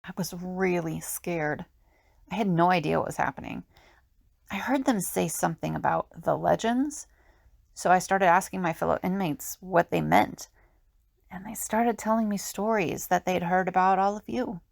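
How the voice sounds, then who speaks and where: A woman playing an earnest, candid young visionary in an audio drama, with a halting, confiding delivery. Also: fairly low pitch, gravelly, no room sound, clean studio sound. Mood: uneasy. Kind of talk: amateur audio drama acting.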